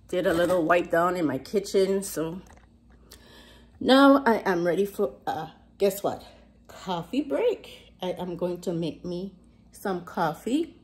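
A middle-aged woman with a Virgin Islands Caribbean accent talks to the camera in a casual vlog style. Her voice sits a little low for a woman. She is emphatic and often repeats a word or phrase to drive it home. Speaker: vlogger, host. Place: home kitchen, indoors.

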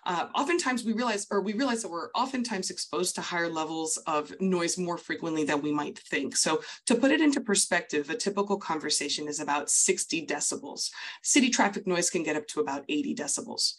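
A woman with a notably low voice explains things carefully, in an even teaching manner. She speaks over a webcam mic on a video call, as in an online webinar. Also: a little fast. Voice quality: low-pitched voice. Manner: clear, explanatory, patient. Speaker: audiologist. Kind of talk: webinar presentation over slides.

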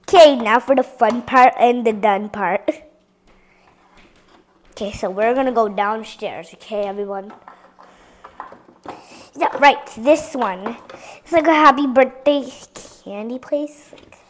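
A fairly high female voice talking slowly through a dollhouse tour, chatty and direct with her viewers. She sometimes sinks into hushed whispers as she handles the pieces. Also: expressive. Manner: chatty, drops to soft whispers at times. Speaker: toy reviewer. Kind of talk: casual toy tour for viewers.

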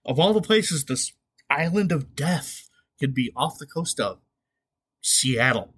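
A man's voice: fairly high pitch, lively intonation, slow pace, fairly bright tone, husky. A middle-aged man hosting a solo comedy podcast, talking in a sarcastic, conversational way with some swearing. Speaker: podcast host.

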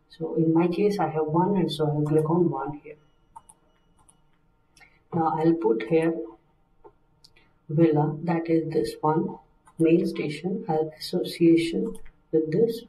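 A man narrating a software tutorial as a technical instructor, step by step with halting phrasing. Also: fairly high pitch. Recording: roomy, distant microphone.

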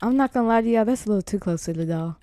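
A woman's voice: medium pitch, rapid-fire, dark tone, no room sound, lightly acted. A woman playing a sassy, exasperated granddaughter who bosses everyone around in an improvised amateur roleplay. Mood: bossy. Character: sassy, jumpy grown granddaughter taking charge.

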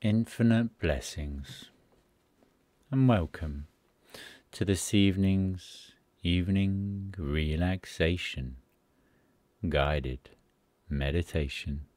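A deep-voiced man guides a relaxation meditation at an extremely slow pace. His delivery is soothing and measured, with short phrases set apart by pauses. The tone is dark and mellow. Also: no room sound. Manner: soothing, unhurried, pausing between short phrases.

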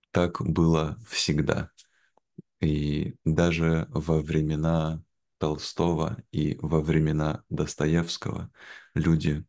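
A young man speaking in a careful, measured way in a simplified conversation for learners, on a video call from home. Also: extremely low pitch, a little mellow. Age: young adult. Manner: measured, careful, deliberate phrasing. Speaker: guest, language teacher. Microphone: video call, wearing headphones.

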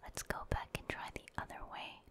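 Female, whispered voice, soft, unhurried, ASMR, video game playthrough commentary, thinking out loud. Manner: soft, unhurried, musing aloud. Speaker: gaming streamer.

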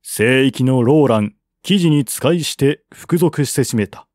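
A man's voice: medium pitch, lively intonation, measured pace, darkish tone, no room sound. Man narrating a historical novel, dry recording. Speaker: audiobook reader.

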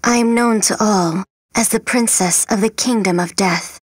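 A woman voicing a gentle, melancholy young princess of death in a video game, soft, breathy, solemn and wistful. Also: medium pitch, normal speed, no room sound, natural voice. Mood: solemn, serene. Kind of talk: video game character acting.

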